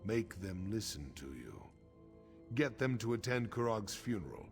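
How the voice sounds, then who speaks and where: An actor playing a grave, honorable middle-aged orc warrior chief in a video game, speaking in a solemn, measured, frank way. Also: male voice, fairly low pitch, very animated, creaky, a little mellow, exaggerated voice. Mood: solemn, earnest. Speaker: quest-giving game character. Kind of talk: scripted video game dialogue. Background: game music.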